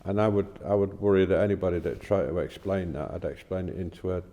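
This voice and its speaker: A plain-spoken man talking haltingly in a casual discussion of faith, recorded with long reverb. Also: sits low, steady tone, mellow.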